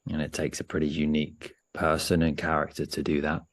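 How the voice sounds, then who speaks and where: A man with an extremely low voice guides a meditation. His intonation stays very level and even. He speaks gently and without hurry, leaving frequent pauses partway through his sentences. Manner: gentle, unhurried, pausing often mid-sentence.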